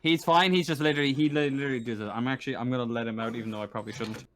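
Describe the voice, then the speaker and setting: Man, game master, casual tabletop narration, medium pitch, hesitant, rambling, apologetic. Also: fairly even intonation, rapid-fire, a bit bright, slight background noise.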